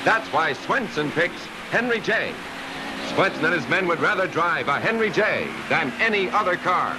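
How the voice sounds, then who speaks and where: A man's voice: high-pitched, animated, moderate pace, noisy background. A man narrating a 1950s car commercial in an emphatic, punchy salesman delivery on an old film soundtrack. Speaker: commercial announcer.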